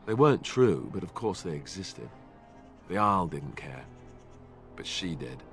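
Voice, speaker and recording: An actor playing an awkward, earnest young warrior in a fantasy video game, speaking in a hesitant, self-effacing, conversational way. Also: male voice, low-pitched, very animated, a little slow, faint music behind, heavily acted. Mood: resigned, explanatory. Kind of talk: video game cutscene acting.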